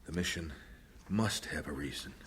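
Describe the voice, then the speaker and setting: A man's voice: a bass voice, normal speed, fairly bright tone, slight background noise. A man playing a patient, soothing missionary husband in an audio drama. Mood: gentle, soothing.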